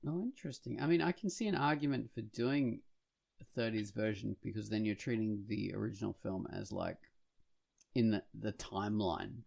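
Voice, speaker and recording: A man co-hosting a podcast, speaking casually in a halting, reflective way. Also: fairly low pitch, gravelly, darkish tone. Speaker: podcast co-host. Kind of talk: casual conversational discussion.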